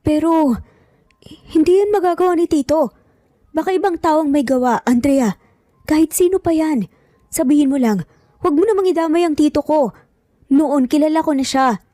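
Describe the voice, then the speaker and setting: A woman's voice: high-pitched, moderate pace, long pauses, mellow, no room sound, clean studio sound, pitched above the performer's own voice, lightly acted. A woman voicing a concerned, doubting young man in an audio romance, earnest and questioning. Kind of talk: dramatised audio romance storytelling.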